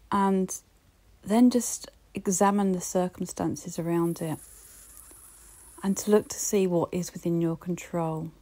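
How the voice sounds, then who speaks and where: A woman with a British accent giving a reflective solo podcast talk, gently encouraging and speaking straight to the listener. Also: lowish voice. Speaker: podcast host.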